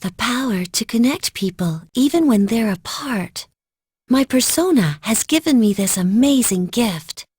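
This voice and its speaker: A soft-spoken shy teen girl. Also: fairly high pitch, lively intonation, measured pace, slightly rough, no room sound, studio quality. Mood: sincere. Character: gentle shy teenage girl, quietly determined. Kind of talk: game cutscene voice acting.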